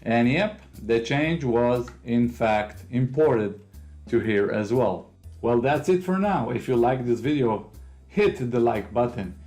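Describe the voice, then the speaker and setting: A man from Israel whose first language is Hebrew, speaking English with an Israeli accent as he explains a software app step by step in a tutorial. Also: medium pitch, takes it slowly, soft background music. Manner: explains step by step, non-native phrasing, occasional fillers. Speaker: host of a software tutorial channel. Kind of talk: screen-recorded software walkthrough.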